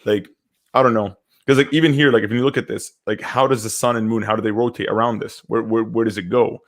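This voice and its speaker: A man's voice: lowish voice, steady tone, fast. A man in his twenties from Syria and Canada, chatting casually and hesitantly as a podcast co-host, often repeating words as he goes. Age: 20s. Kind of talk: casual live discussion.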